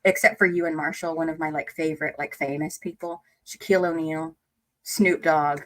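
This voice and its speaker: A woman co-hosting a casual reaction chat, talking freely with lots of "like" and quick laughs. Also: deep voice, fairly even intonation. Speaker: co-host reacting to a video.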